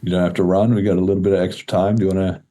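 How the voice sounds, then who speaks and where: Low, mellow-voiced man hosting a podcast. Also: little pitch movement, very fast. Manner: informal, slangy. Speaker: podcast host. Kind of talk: casual podcast chat.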